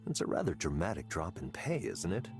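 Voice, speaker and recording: An actor playing a smug, calculating company boss in a visual novel game, speaking in a smooth, measured, condescending way. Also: male voice, low voice, big pitch swings. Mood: smug, probing. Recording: loud music bed under voice. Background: music.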